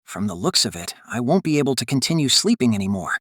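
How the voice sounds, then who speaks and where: A man performs a young working man in an audiobook, groggy and anxious. He talks to himself in wry, questioning mutters at a middling pitch. The sound is dry and close. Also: sing-song, fast talker, fairly bright tone, no room sound. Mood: puzzled, grumbling. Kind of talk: audiobook character acting.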